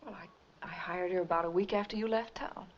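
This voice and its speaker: An actress plays a wary, sharp-tongued American mother in a 1940s noir film. She talks fast in a lowish voice, brisk and questioning, on a muffled soundtrack with strong noise. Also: steady tone, natural voice. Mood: worried, brisk.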